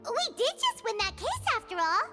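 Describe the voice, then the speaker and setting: Tiny girlish game fairy, extremely high squeaky voice, chirpy, lively intonation, loud music behind. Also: moderate pace, full character voice. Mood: surprised, cheeky. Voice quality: squeaky childlike. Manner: refers to self in third person, chirpy. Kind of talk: English video game voice acting.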